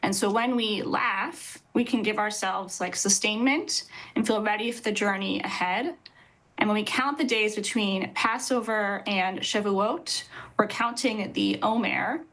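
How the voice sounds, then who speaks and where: A woman in her thirties speaks as a guest rabbi on a video call. She explains things in an earnest, measured way, at a middling pitch for a woman. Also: fairly bright tone, low-quality recording. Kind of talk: explanatory interview answers. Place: indoor office with bookshelves.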